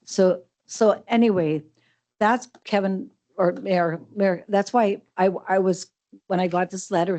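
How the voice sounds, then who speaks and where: A woman's voice: on the low side, mellow. An older woman speaking from the public gallery at a council meeting, with a big voice, talking in a rambling, hesitant way full of restarts. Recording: dull lo-fi council chamber mic.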